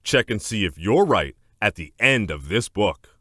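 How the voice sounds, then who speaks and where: Man reading aloud with lively, swooping intonation. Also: fairly low pitch, a little slow, dry recording, studio quality. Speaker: narrator reading a children's book aloud. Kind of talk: scripted educational read-aloud.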